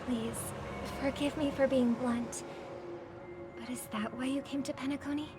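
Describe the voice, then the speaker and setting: A woman playing a gentle, thoughtful famous singer in a video game, speaking politely and with measured care. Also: high voice, fairly even intonation, over loud music, natural voice. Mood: gracious. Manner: measured, polite, soft-spoken. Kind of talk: scripted game voice acting.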